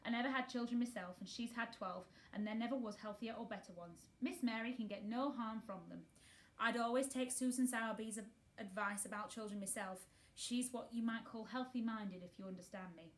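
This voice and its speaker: A woman's voice: fairly high pitch, fairly quick, lightly acted. A respectful housekeeper woman, put on by a female narrator in a children's story. She is plain-spoken and homely, with a matter-of-fact, deferential manner. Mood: relieved, deferential.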